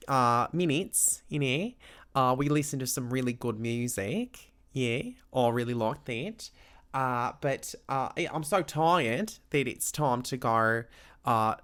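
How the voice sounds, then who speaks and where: A man doing a put-on Australian accent, drowsy and rambling, the words unhurried and trailing off. The voice sits at a middling pitch and drifts slowly between thoughts. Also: a bit bright, close to an everyday voice.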